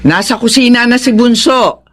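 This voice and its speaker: A woman's voice: a little high, normal speed, close to an everyday voice. A Filipino woman acting in a radio drama as a doting, fretful older mother who soothes and fusses over her children. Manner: soothing, fussing. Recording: noisy radio drama track.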